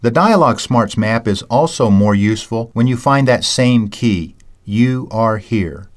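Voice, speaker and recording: A man reading a scripted lesson in a measured, storytelling way, teaching communication skills. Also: medium pitch, animated. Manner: measured, anecdotal, self-described ham. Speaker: audiobook narrator and seminar teacher. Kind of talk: scripted audiobook narration.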